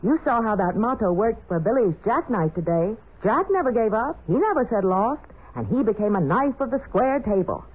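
A woman's voice: a little high, big pitch swings, moderate pace, hardly any pauses, slightly rough. A woman hosting a 1940s children's radio show, addressing listeners in a scripted style. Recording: tinny, phone-like 1940s radio recording.

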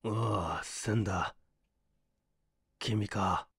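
Gravely hurt man acted by a male narrator: low, subdued, terse and pained, wry edge, for an audiobook. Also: fairly even intonation, very slow, a bit bright, no echo, studio quality, exaggerated voice. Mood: weak greeting. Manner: strained, terse, low muttering. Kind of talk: Japanese audiobook character acting.

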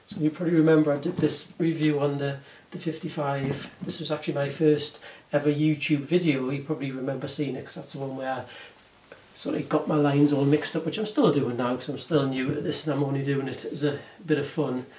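A man in his forties with an English accent, chatting casually through a bag review, hoarse from a sore throat and often correcting himself. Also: on the high side, steady tone, brisk, a little mellow, echoey room, far from the mic, rough recording. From England. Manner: rambling, self-correcting, chatty. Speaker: vlogger reviewing bags. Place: room indoors, curtain backdrop.

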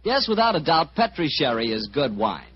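A man's voice: on the high side, very animated, normal speed. A man with an American accent announcing in polished, salesmanlike 1940s radio style. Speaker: announcer and sponsor pitchman. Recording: muffled, hissy old radio broadcast.

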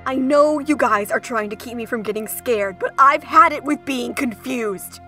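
A woman's voice: fairly high pitch, moderate pace, sharp tone, soft background music. A woman playing a timid, bewildered young schoolgirl with an earnest, flustered manner in a cartoon dub. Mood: exasperated.

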